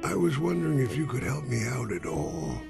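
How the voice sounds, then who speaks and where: An actor playing a poor, ashamed man with a lame leg, asking for help in halting words. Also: mid-range voice, very animated, gravelly, over music. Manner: hesitant, halting, near tears. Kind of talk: dubbed drama acting.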